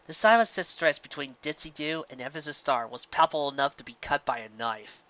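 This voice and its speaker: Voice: medium pitch, brisk, fairly bright tone, dull audio. A narrator reading a scripted story aloud. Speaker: narrator of fan fiction.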